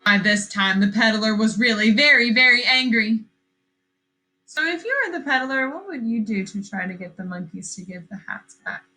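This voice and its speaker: A woman teacher reading a story aloud to young children, expressive and questioning, repeating phrases for emphasis. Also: a little high, normal speed, rough recording.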